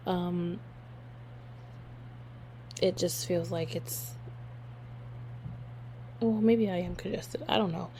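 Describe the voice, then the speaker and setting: A young woman hosting her own podcast, hoarse from losing her voice, chatting casually and rambling with lots of fillers. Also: fairly low pitch, slow, pauses often, a little hiss or hum. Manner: rambling, filler-heavy, relaxed. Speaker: podcast host. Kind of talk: casual solo podcast chat.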